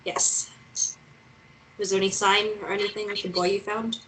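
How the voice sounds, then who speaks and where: A woman's voice: mid-range voice, little pitch movement, moderate pace, fairly bright tone, slight background noise, lightly acted, rough recording. A woman playing a stubborn, irreverent young woman in a screenplay read, talking in a hesitant, conversational way. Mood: guarded. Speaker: actor in a screenplay table read.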